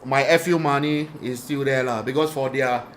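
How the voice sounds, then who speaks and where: A man's voice: medium pitch, fairly even intonation, normal speed, a little hiss or hum. A young man with a Singaporean accent hosting a casual podcast chat, telling stories with many restarts and fillers. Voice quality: says he has a radio voice. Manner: rambling, storytelling, frequent restarts and fillers. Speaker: podcast host. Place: small podcast room. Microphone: studio podcast mic.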